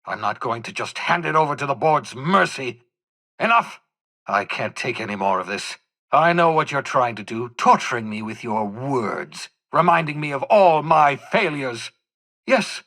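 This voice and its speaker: An embittered old scientist turned rebel for a video game. The voice is husky and bright with forceful edge, swinging widely in pitch. He speaks in wordy, scornful, melodramatic flourishes. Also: heavily acted. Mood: defiant, resigned, then anguished, broken. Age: older. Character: eccentric, embittered old scientist rebel leading crazed inmates. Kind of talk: theatrical video game character acting.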